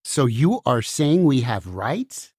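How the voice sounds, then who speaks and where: Woman playing a slow, earnest book puppet. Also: a bass voice, big pitch swings, warm tone, husky, no echo, studio quality, heavily acted. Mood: worried, resigned. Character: weary, caring book puppet in hiding. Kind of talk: musical puppet show acting.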